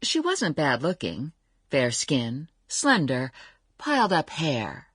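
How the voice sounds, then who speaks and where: A wry narrator of a radio family saga. Her voice is very low and husky, with animated pitch, recorded dry. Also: slow, no echo, heavily acted. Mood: wry, storytelling. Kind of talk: scripted dramatised narration.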